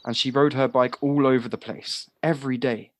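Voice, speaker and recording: An actor playing a chatty, guileless young village lad in an audio play, talking in a candid, rambling way. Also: male voice, medium pitch, fairly even intonation, fairly quick, lightly acted. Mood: chatty, earnest.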